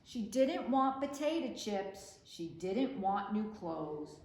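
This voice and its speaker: A woman reading picture books aloud for children in an expressive, deliberate storytelling delivery, recorded in a roomy space. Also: mid-range voice. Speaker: children's librarian, storytime reader. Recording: roomy echo with faint background noise.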